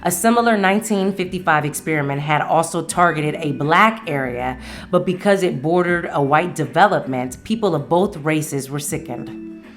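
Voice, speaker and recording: A woman's voice: low-pitched, normal speed, soft background music. An American woman hosting a solo podcast, speaking in a blunt, emphatic, slangy way. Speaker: podcast host. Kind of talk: casual solo podcast monologue.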